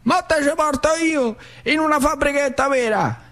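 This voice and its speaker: An actor playing a fiery, rebellious working-class uncle with a central Italian Lazio dialect in a theatre monologue. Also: male voice, extremely high pitch, moderate pace, fairly bright tone, pitched above the performer's own voice. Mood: urging.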